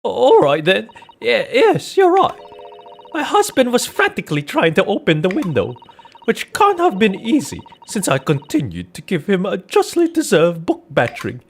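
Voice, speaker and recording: A man voicing a quick-tempered, pompous married woman in a courtroom game, huffy and easily flustered. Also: high voice, huge pitch swings, gravelly, pitched above the performer's own voice, heavily acted. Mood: huffy admission. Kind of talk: dramatic video game character voicing.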